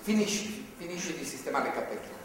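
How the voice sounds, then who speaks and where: An actor playing a stern, moralizing religious zealot who holds a woman captive, in a deliberate, authoritarian stage delivery. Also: on the high side, lively intonation, natural voice. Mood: solemn preaching. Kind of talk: live stage drama acting. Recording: distant, noisy, echoey theatre hall recording.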